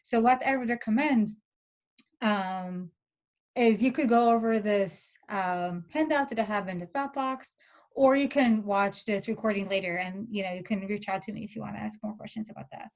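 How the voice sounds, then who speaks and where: A woman's voice: mid-range voice, moderate pace, darkish tone. A woman whose first language is not English, a graduate instructor giving reassuring, chatty advice over a video call, with lots of "like" fillers. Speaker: graduate student instructor leading a workshop. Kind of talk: casual advice in an online workshop.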